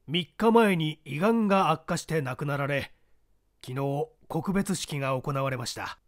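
Male reader as solemn, polite letter writer in mystery audiobook: high voice, highly animated emphasis. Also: natural voice.

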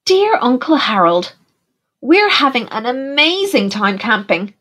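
A woman's voice: on the high side, big pitch swings, measured pace, voice pushed up, exaggerated voice. A woman voicing a cheerful, polite, enthusiastic male bear in a children's storybook. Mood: excited, cheery. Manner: bright-spirited, eager, polite.

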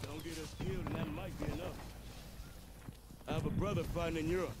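A man's voice: fairly high pitch, fairly even intonation, fairly quick, very noisy, natural voice. A man playing a wry, grumbling American army private with a dry, sardonic way of talking. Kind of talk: video game acting.

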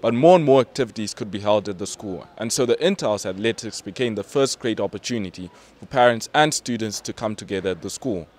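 Deep-voiced male newsreel presenter. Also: animated, fast, hardly any pauses, darkish tone. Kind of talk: scripted news narration.

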